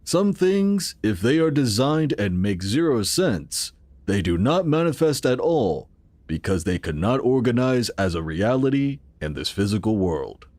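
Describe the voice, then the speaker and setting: An actor playing the earnest, calm founder of a futuristic city in a sci-fi audio drama, explaining things in a measured, formal way. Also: male voice, mid-range voice, no room sound. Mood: patient, explanatory.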